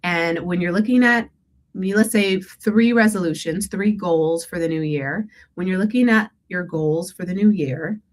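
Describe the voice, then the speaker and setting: Low-voiced, slightly stuffy woman coach. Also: normal speed. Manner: rambling, self-correcting, frequent "right?". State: a little stuffy from a cold. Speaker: declutter coach hosting a call-in show. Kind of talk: casual coaching talk with breathing guidance.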